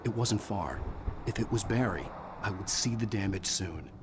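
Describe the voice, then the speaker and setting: A male actor playing a driven writer obsessed with battling dark forces, in video game dialogue and narration. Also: on the low side, moderate pace, background noise, close to an everyday voice. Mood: subdued narration.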